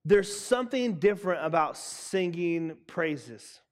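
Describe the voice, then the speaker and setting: A young man preaching a sermon to students, emphatic and loud, repeating his key lines. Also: a little high, expressive, slow. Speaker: youth pastor. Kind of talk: youth sermon. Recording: long hall reverb.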